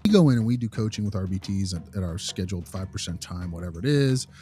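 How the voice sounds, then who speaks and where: A man's voice: low voice, animated, fast talker, dark tone, faint music behind. A man talking through his opinions in a casual podcast discussion, repeating phrases for emphasis. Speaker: podcast co-host, behavior analyst.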